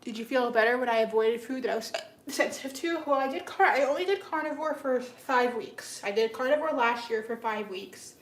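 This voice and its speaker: A woman's voice: fairly high pitch, brisk. A young woman chatting on a livestream with her viewers, sounding stuffed up and rambling with many restarts and fillers. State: stuffed-up nose. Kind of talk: casual livestream chat with viewers. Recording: echoey room with clear background noise.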